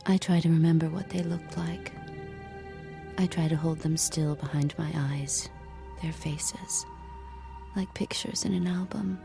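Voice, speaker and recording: Audio drama narrator-heroine: subdued grieving captive, breathy near-whisper, unhurried delivery over prominent music. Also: female voice, low voice, fairly even intonation. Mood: grieving, haunted. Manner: slow, hushed, introspective. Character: grieving captive handmaid, haunted, subdued and obedient. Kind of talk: audio drama inner monologue.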